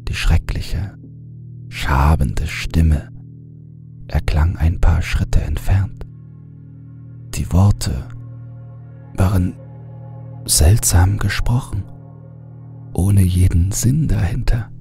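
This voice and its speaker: A man narrating a horror audiobook in a hushed, often whispering manner, with music underneath. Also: low voice, extremely slow, creaky, long pauses.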